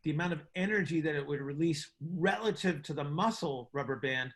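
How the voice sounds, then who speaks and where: A man with a slightly high voice teaches in an informal, conversational way as a coach. He often doubles back on his words and checks that listeners follow. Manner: halting, repeats words, asks checking questions. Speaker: host and coach teaching on a group call. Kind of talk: informal explanatory teaching on a conference call.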